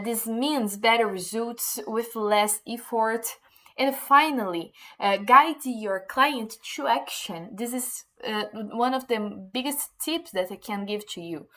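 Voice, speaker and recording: Woman, English teacher, hesitant with fillers, fairly high voice. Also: fairly bright tone. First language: Portuguese. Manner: hesitant, many fillers and restarts. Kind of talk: informal English lesson.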